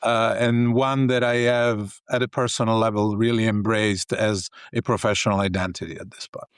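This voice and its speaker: Italian-accented man, smooth and dry. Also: on the low side, level tone, no echo. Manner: thoughtful, pausing, non-native grammar. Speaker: executive coach and professor. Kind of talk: conversational interview answers. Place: home office, video call.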